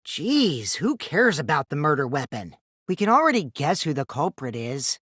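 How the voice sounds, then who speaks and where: A man's voice: fairly high pitch, very animated, moderate pace, no echo, clean studio sound. A man voicing a mischievous, childish teenage boy who lies and schemes, in a youthful, teasing, theatrical video game performance. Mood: teasing, sly. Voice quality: boyish, youthful put-on voice. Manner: teasing, theatrical, swinging from singsong to hushed menace. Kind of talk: English video game voice acting.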